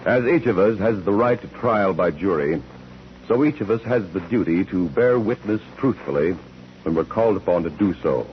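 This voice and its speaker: An actor playing a grave, earnest crime-show hero narrating formally in 1940s radio drama style. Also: male voice, low voice, expressive, moderate pace, a little hiss or hum. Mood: grave, ominous. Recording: dull old radio recording with music.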